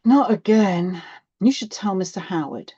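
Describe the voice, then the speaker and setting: A woman's voice: medium pitch, animated, unhurried, warm tone, husky, muffled recording. Woman voicing a weary, blunt hard-up mum. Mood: concerned. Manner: sighing, blunt, matter-of-fact. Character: weary, hard-up single mum, worried but firm. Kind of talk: acted children's audiobook dialogue.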